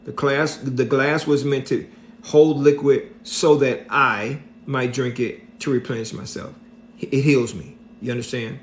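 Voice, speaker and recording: A middle-aged man giving a motivational talk on a livestream, slow and preachy, repeating his points and often checking in with "right?". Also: mid-range voice, fairly even intonation, a little hiss or hum. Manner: slow and deliberate. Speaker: motivational speaker.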